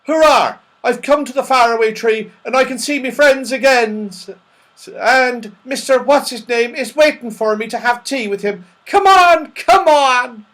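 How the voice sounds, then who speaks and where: A man voicing an excitable, muddled, friendly old man in a children's storybook, exclaiming loudly. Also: extremely high pitch, a little slow, voice pushed up, full character voice. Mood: overjoyed.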